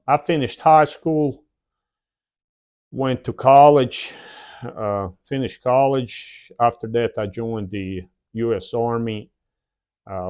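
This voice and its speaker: A man in his forties from the former Yugoslavia, with a Slavic accent and a Southern drawl, telling his own story plainly with many "uh" pauses in his shed workshop. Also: fairly low pitch, a little mellow. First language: a South Slavic language. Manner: plain, unhurried, frequent "uh" pauses. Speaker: channel host. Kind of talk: casual personal storytelling.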